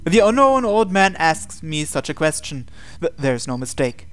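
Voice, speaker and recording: A man's voice: fairly high pitch, very animated, normal speed, a bit bright, clear, resonant tone. A man hosting a game playthrough, reading visual novel narration aloud expressively with casual asides.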